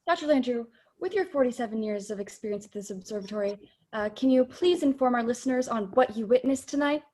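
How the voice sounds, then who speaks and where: Teen girl doing a nervous radio reporter, polite, kinda high voice, stumbles a lot. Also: fast talker, a little mellow, natural voice. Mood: flustered, formal. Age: teens to young adult. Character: flustered, polite radio news reporter, male by name. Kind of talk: spoof radio play interview. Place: bedroom, video call.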